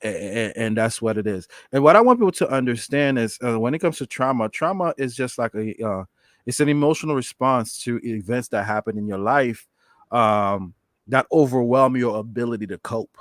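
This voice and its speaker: A man's voice: medium pitch, normal speed, a bit bright, no room sound, studio quality. A man hosting a live podcast, talking earnestly and with emphasis about trauma to his guest and his viewers. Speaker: podcast host.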